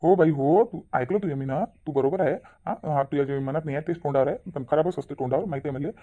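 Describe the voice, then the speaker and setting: A man's voice: on the high side, fast, natural voice. A man playing an exasperated husband who nags in a family comedy cartoon. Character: exasperated husband scolding his blunt wife. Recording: thin phone-like narrow audio.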